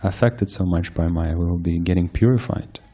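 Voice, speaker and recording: A man giving a scripture lecture, soft-spoken and hesitant, with frequent fillers and restarts. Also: deep voice, moderate pace. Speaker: speaker giving a scripture class. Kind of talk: sermon-style lecture. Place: temple hall with live audience.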